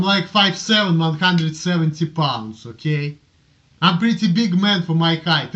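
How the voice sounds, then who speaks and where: A man's voice: high-pitched, fairly bright tone, dull audio. A man from Russia with a strong Russian accent, rambling casually and repeating himself as he justifies himself in a homemade video blog. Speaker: amateur video blogger. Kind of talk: casual rambling talk to camera.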